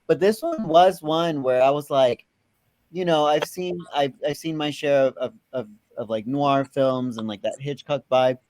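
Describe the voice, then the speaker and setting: A man's voice: on the high side, a little slow, a little mellow. A man in a casual film discussion, rambling and repeating words as he thinks aloud. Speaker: film review panelist.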